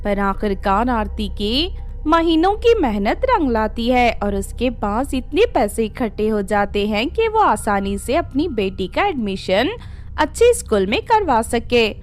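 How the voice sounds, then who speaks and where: High-voiced woman narrating a story. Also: sing-song, measured pace.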